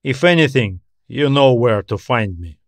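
A man voicing a gruff, evasive male space station mechanic in audiobook dialogue, offhand and hesitant. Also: medium pitch, lively intonation, moderate pace, no echo, close to an everyday voice.

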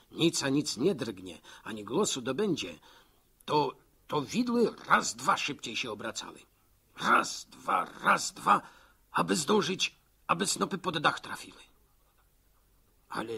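An actor narrating as a folksy village storyteller in a vintage radio drama. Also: male voice, medium pitch, vocal fry, slightly rough, heavily acted. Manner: folksy, vivid, rising to excitement. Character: rustic village storyteller man.

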